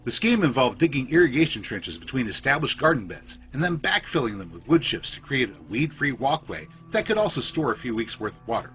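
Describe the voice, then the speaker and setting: A man reading a scripted first-person horror story in a literary, measured, suspenseful storytelling manner. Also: medium pitch, very animated, fairly bright tone, faint music behind. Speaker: storyteller, podcast narrator.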